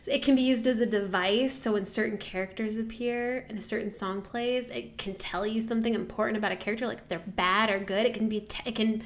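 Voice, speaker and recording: A woman co-hosting a casual podcast, with a somewhat monotone voice, jokey and self-deprecating, talking into a mic while wearing headphones. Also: a little high, fairly quick, few pauses. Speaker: podcast co-host. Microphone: microphone, wearing headphones.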